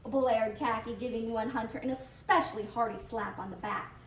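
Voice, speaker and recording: A woman's voice: a little high, moderate pace. A woman narrating a scripted children's storybook as part of a readers' theater. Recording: echoey room, distant, faint noise.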